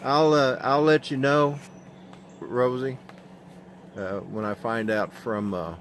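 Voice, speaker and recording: A man with an Oklahoma accent chatting on a live stream from his handheld phone outdoors, talking in a slow, halting monotone and answering viewers' comments. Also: medium pitch, slight background noise. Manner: slow, drawling, halting with many fillers. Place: outdoors at sunrise.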